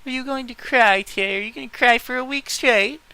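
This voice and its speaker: Guy doing a mean gloating teen girl bully from a story, sneery, bright clear voice. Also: extremely high pitch, brisk, no echo, pitched above the performer's own voice, full character voice, rough recording. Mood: taunting. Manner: mocking, sneering. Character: cruel, taunting teenage girl bully, gloating.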